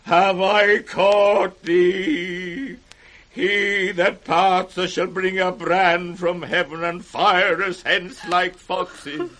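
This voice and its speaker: Old broken king, very slow, intense, slightly high voice, tender, defiant, radio Shakespeare. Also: bright, forceful tone, heavily acted. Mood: defiant tenderness. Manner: slow, declamatory, emotional. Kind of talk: 1960s radio Shakespeare acting.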